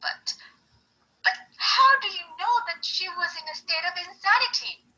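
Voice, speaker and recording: A woman voicing a grieving mother wolf who pleads in a high, shaky, tearful voice. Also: animated, normal speed, pushed and bright, exaggerated voice, low-quality recording. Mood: indignant. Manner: high, shaky, crying pleas. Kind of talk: amateur reading of a courtroom tale.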